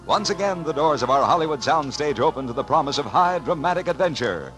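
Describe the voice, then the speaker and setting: A man's voice: fairly high pitch, expressive, quick pace, rough recording. A man announcing in a formal, dramatic 1950s radio style. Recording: vintage 1950s radio broadcast. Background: music.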